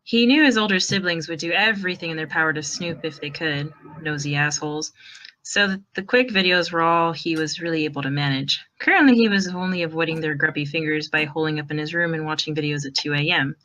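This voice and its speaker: A woman narrating a fan fiction story in an expressive reading style. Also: deep voice, brisk, poor audio.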